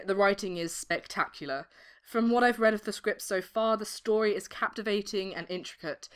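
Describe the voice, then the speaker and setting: A young woman giving articulate, expressive interview answers as an amateur voice actress. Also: mid-range voice. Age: young adult.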